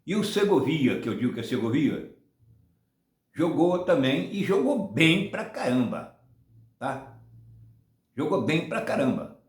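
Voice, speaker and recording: A man's voice: a little high, big pitch swings, a little slow, long pauses. Rambling older man in an echoey room. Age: 70s. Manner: rambling, many restarts and tag "tá?". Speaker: football channel host. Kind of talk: casual rambling commentary.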